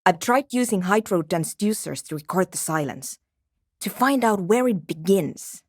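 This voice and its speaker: A woman's voice: mid-range voice, lively intonation, moderate pace, husky, no room sound. An actress playing a brusque, nerdy woman programmer in a video game, with a dry, matter-of-fact, sardonic manner. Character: brusque, nerdy young woman programmer researching a radio anomaly. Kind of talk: video game character dialogue.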